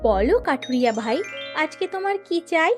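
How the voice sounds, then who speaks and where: A woman's voice: high voice, animated, brisk, music in the background. A woman voicing a kindly, generous magical forest fairy in a children's cartoon, gracious and obliging. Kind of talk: Bengali children's cartoon voice acting.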